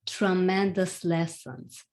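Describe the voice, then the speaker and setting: A woman's voice: on the low side, slow, darkish tone. A woman hosting a motivational podcast on a video call, speaking in an emphatic, encouraging way and repeating phrases for weight. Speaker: host.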